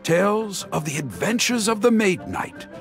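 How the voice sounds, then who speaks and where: Grandiose male storyteller, swooping pitch. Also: big pitch swings. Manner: grandiose, suspenseful, with dramatic pauses. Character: dramatic male street storyteller spinning heroic tales. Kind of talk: theatrical storyteller narration. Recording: background music under voice.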